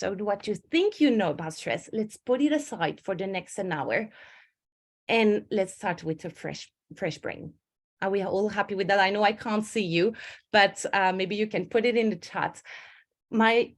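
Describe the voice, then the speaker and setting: An earnest woman leads an online stress-management webinar, sharing her story in a personal way. Her voice sits in an ordinary middle pitch, and she often stops to rephrase mid-sentence. She is heard through a home computer mic on a video call. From Switzerland. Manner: earnest and personal, with frequent self-corrections.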